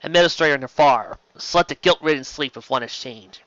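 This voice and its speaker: A man's voice: on the high side, fairly quick, fairly bright tone, no room sound, highs cut off. A man reading science fiction aloud as an amateur audiobook narrator, in a dramatic storytelling style.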